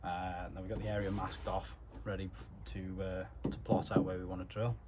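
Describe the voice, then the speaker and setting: Male DIY mechanic vlogger, low and monotone, informal step-by-step delivery, noticeable background noise. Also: moderate pace. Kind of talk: casual hands-on tutorial narration.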